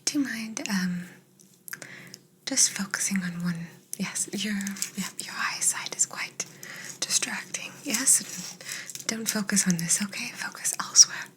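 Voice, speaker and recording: A woman whispering softly and breathily in an ASMR roleplay as a polite, curious, clinical alien examining a human. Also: medium pitch. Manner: slow, polite, clinical, murmuring short reassurances.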